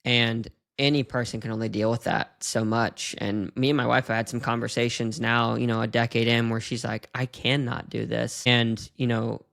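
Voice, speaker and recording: A man's voice: mid-range voice, little pitch movement, normal speed, gravelly, hardly any pauses, fairly bright tone. A man in his thirties hosting a podcast, musing openly and frankly about his own mistakes. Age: 30s. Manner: open, confessional musing. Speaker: podcast host. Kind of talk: podcast interview.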